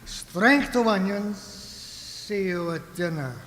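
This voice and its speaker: A high, sharp-edged voice of an imperious vegetable tyrant played by a man in a radio satire. He speaks slowly and pompously, handing out ruthless orders in clipped phrases. The sound rings with the reverb of a hall. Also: lively intonation, heavily acted. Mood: curtly ruthless. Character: imperious scheming vegetable ruler, casually ruthless. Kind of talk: satirical radio play acting.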